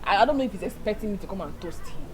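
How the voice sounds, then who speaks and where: A woman's voice: mid-range voice, expressive, very fast, crowd noise, close to an everyday voice, rough recording. A woman with a Nigerian accent acting a secretive, lovestruck young woman, emphatic and evasive, in a conversational drama scene. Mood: wistful, defensive. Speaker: actor.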